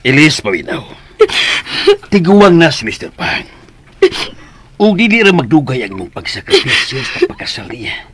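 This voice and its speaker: Greedy father, middle-aged, animated intonation, Visayan accent, persuasive, radio serial. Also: a little high, moderate pace, pauses often. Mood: coaxing. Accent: Visayan Filipino. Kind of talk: radio drama acting.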